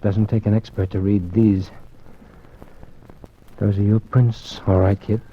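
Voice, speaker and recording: A man's voice: on the low side, level tone, unhurried, dark tone, a little hiss or hum, natural voice. An actor playing a tough male detective with clipped, hard-boiled talk, in 1940s radio acting. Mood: probing. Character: tough male detective Denny, loyal to his young partner. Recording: muffled old radio broadcast.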